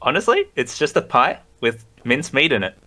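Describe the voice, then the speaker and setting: A man's voice: medium pitch, big pitch swings, moderate pace, pushed and bright. A man from Australia with a mild Australian accent, a guest on a podcast, chatting casually with dry humor. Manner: friendly, dry humor, laughs often. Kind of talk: casual interview chat.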